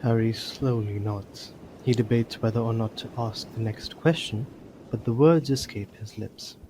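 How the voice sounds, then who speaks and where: A man's voice: on the low side, steady tone, unhurried, dark tone, slight background noise, low-quality recording. A man narrating a scripted story for an audio drama.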